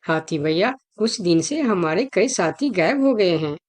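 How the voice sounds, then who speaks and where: Woman as a worried, animated cartoon rabbit. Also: fairly low pitch, a little slow. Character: worried rabbit petitioning for missing companions. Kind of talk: Hindi children's cartoon dialogue.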